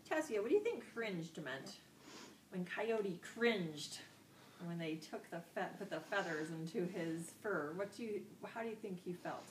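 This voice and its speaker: A woman's voice: fairly low pitch, animated, unhurried. A woman teacher speaks in a roomy classroom, some distance from the microphone. She instructs young children, repeating key words and putting questions to them. Faint noise sits behind her voice. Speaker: elementary school teacher. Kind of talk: interactive classroom vocabulary lesson for young children.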